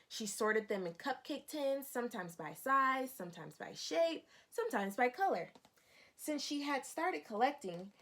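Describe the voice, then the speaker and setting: A woman's voice: fairly high pitch, fairly bright tone. A woman reading a picture book aloud like a teacher, guiding young children and putting questions to them. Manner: instructive, addressing young children.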